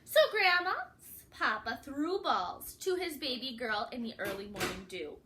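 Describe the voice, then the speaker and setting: Animated, high-pitched woman reading to children. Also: unhurried, slight background noise. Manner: animated, addresses listeners with questions, dramatic emphasis. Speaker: children's read-aloud storyteller. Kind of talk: expressive picture-book read-aloud with asides to young listeners.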